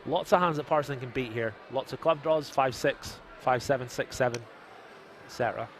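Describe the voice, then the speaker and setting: A man's voice: medium pitch, a little hiss or hum. A man with a soothing radio voice calls a live poker stream from a casino booth. He reads out the chat and keeps the one-liners coming between hands. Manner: jokey, quick one-liners.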